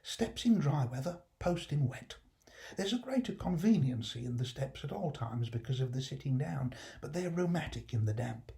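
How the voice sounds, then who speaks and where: A man's voice: mid-range voice, expressive, moderate pace, vocal fry, warm tone. A man voicing a humble, kindly old working-class father, fond and easily enraptured, musing in homely dialect in a storybook reading. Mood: reflective.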